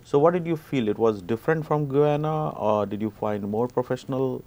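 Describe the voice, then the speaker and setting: A man's voice: medium pitch, moderate pace, mellow. A man hosting a sports talk show, asking questions and patiently explaining the basics of the game. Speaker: show host. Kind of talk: interview hosting.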